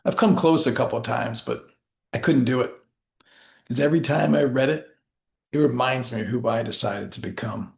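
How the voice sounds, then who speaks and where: Gravelly-edged man telling a motivational story. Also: mid-range voice. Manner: deliberate, short phrases with dramatic pauses. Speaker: motivational speaker and educator. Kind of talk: rehearsed motivational storytelling speech.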